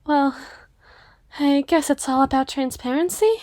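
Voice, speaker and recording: A reserved young cyclops waitress played by a female performer in a roleplay audio. Her voice is high and recorded dry, and she speaks hesitantly, rambling earnestly and modestly. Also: unhurried, darkish tone, dry recording. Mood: reserved, sincere. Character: shy, self-effacing twenty-five-year-old cyclops waitress. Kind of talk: ASMR roleplay acting.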